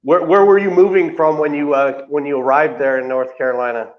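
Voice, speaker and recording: A man co-hosting a casual interview over a video call, halting and filling pauses with "uh" and restarts. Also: on the high side, fairly even intonation. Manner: halting, many uh fillers and repeats. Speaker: co-host. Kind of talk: casual chat. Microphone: video call webcam. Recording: dull lo-fi call audio, faint hiss.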